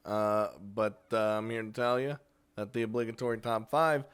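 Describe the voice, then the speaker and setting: A man's voice: mid-range voice, clean tone. A man hosting a casual, unscripted countdown of games, chatty and crude, swearing freely. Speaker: video host and game reviewer.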